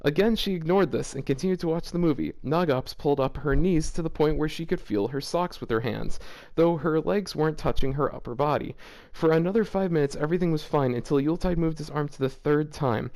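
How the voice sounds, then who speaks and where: A man's voice: fairly high pitch, a little fast, gravelly. A young man reading a fanfiction story aloud, breaking off to laugh and react. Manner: laughs often, animated reactions. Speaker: fanfiction reader. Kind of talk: comedic reading aloud with commentary.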